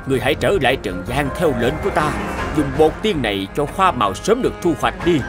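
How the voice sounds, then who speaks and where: Male actor as imperious old cartoon emperor, commanding and indignant, very animated intonation, music behind. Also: medium pitch, fast talker, natural voice. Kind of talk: animated fairy-tale dialogue. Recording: music under the voice.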